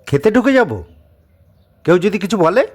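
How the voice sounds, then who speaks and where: A man's voice: high-pitched, sing-song, a little slow, husky, voice pushed up, exaggerated voice. A man voicing a polite, curious young visitor from the city in an audio drama. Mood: excited. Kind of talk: Bengali audio story acting.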